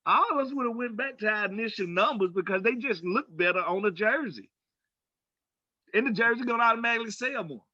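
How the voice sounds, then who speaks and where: Male sports co-host, high and bright, emphatic raconteur who voices both sides of conversations, dry, slightly muffled recording. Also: a little fast, dry recording. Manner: animated storyteller, acts out quoted dialogue, emphatic. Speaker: sports talk show co-host, former pro football player. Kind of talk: casual sports talk and storytelling.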